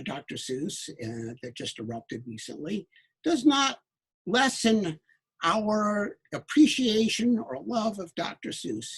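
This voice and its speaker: A man hosting an online children's book event, introducing it in formal, measured phrases with some hesitation. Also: high-pitched, vocal fry, husky, rough recording. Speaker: event host.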